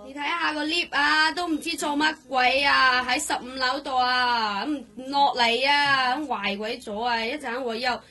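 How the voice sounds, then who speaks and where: Fussy woman, high sharp voice, excitable and always complaining, radio drama. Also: unhurried, hardly any pauses, exaggerated voice.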